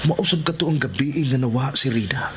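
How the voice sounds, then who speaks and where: A man playing a cautious, reasoning husband and father in a radio drama, measured and urging restraint. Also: mid-range voice, lightly acted. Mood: earnest. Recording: noisy background ambience.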